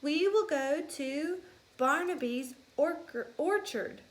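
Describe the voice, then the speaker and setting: A woman voicing a female mouse schoolteacher in a storybook, addressing the class in a projected, deliberate way. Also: sits high, animated, voice pushed up. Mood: firm, announcing.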